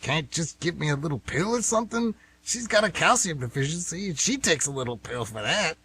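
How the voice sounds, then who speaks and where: A man's voice: a little high, expressive, brisk, pushed and bright, husky, exaggerated voice. A man playing a stubborn, grumbling retired man of fifty-six in a radio play, folksy and defensive in his talk. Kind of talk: amateur radio theatre acting.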